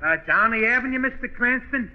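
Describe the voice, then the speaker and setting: A man's voice: extremely high pitch, animated, fairly quick, heavy background noise. An actor playing a comical, eager working-class cab driver who repeats his own phrases, in 1940s radio style. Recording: dull vintage radio recording.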